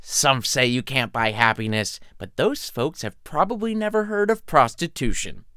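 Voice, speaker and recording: A man's voice: mid-range voice, animated, normal speed, pushed and bright, clean tone, dry recording, clean studio sound. A man voicing a foolish, heartbroken male student in a story, whiny and melodramatic. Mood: cocky.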